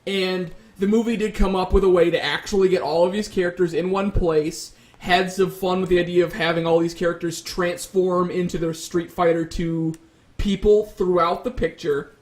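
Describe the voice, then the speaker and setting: A man with a fairly high voice talks as a panelist on a casual debate show. He builds wordy arguments with plenty of hedging along the way. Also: fairly even intonation, normal speed. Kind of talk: casual pop-culture debate.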